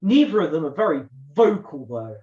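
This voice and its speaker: A woman's voice: low-pitched, sing-song, normal speed, warm tone. A woman playing an opinionated puppet sidekick in a scripted comedy, recorded over an online call. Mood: insistent. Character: opinionated puppet follower of a bossy leader. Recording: roomy, slightly dull online-call sound.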